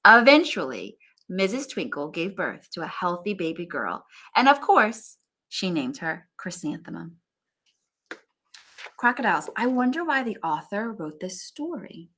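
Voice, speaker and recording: A woman reading a picture book aloud in an expressive, animated teacher's manner, with asides to young listeners. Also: fairly low pitch, unhurried. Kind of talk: expressive children's story read-aloud.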